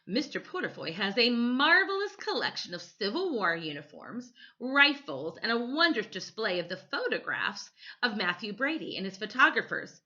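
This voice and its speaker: A woman's voice: on the high side, big pitch swings, moderate pace, pushed and bright, lo-fi sound, pitched above the performer's own voice. A woman voicing a stern but affectionate storybook grandmother with an emphatic, scolding and singsong way of talking. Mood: proud.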